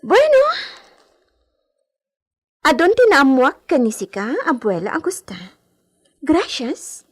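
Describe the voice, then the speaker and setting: Bossy young ilocano senorita for radio drama, super high voice, big swings, haughty, bits of spanish. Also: female voice, wildly swooping pitch, slow pace, pauses often, exaggerated voice. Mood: proud, eager. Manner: commanding, haughty, Spanish words mixed in. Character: imperious young heiress, haughty señorita of the hacienda.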